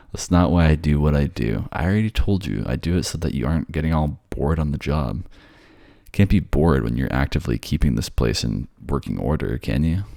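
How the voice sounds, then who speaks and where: A man's voice: very deep voice, vocal fry, a little mellow, dry recording, studio quality, exaggerated voice. A man playing a bored, sardonic ghost who haunts a library, snarky and conversational in an ASMR audio roleplay. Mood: playful, earnest. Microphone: close, dry microphone.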